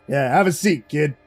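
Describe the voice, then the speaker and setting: A man's voice: a little high, darkish tone, dry recording. A man voicing a genial, cocky mob boss with chatty, slangy, expansive talk in a narrated story.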